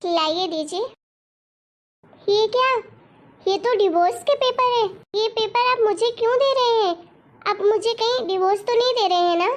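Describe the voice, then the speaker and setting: A woman's voice: extremely high pitch, normal speed. A woman voicing a dramatic, insistent young wife in an amateur cartoon story, with a whiny manner. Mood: puzzled, alarmed.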